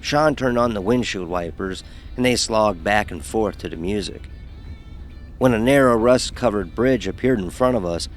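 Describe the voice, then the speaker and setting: A man's voice: lowish voice, moderate pace, soft background music, close to an everyday voice. A man playing a sarcastic young fraternity pledge who narrates his own story in an audio horror drama, wry and sardonic. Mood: wry storytelling. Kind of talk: scripted first-person horror narration with dialogue.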